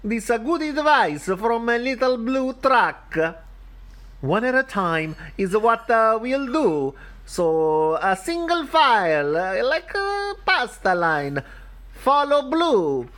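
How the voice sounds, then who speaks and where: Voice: mid-range voice, sing-song, takes it slowly, fairly bright tone, voice pushed up. A performer voicing a pompous, jovial storybook mayor with a put-on Italian accent and a theatrical, speechmaking manner. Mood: jovial, speechifying.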